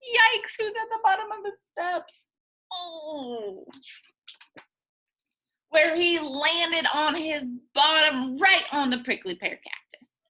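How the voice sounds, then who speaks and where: A woman reading a children's picture book aloud in a playful, expressive way, as a teacher does for young listeners. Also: very high voice, slow. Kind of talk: animated children's storybook read-aloud.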